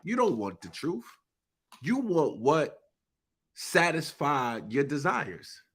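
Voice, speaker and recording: Man, congested and a bit husky, emphatic preachy monologue, lively high-ish voice. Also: slow pace. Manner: preachy, emphatic, uses informal speech. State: congested, stuffy nose. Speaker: live-stream speaker. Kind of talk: impassioned live monologue.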